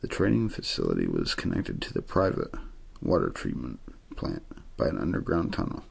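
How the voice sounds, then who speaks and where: Very deep, gravelly male ASMR reader. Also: huge pitch swings, moderate pace. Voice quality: very low, gravelly, husky. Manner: understated, untheatrical delivery. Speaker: amateur narrator reading a novel aloud. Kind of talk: quiet ASMR bedtime story reading.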